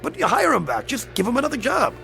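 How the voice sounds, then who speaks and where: A man's voice: extremely high pitch, big pitch swings, fast, vocal fry, pushed and bright, heavily acted. An actor playing a sly, genial, mysterious newspaperman in a radio drama, wheedling and courtly, stammering when pressed. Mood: flustered, insistent. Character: sly, genial, mysterious male newspaper reporter and linotype operator. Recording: radio drama with music underneath.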